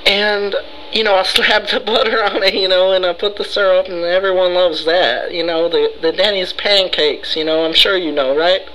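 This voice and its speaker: A man putting on a frightened, rambling, easygoing fellow who pleads haltingly and melodramatically for help in an improvised prank call. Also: high-pitched, steady tone, bright, forceful tone, poor audio. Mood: shaken, rambling. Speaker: prank caller.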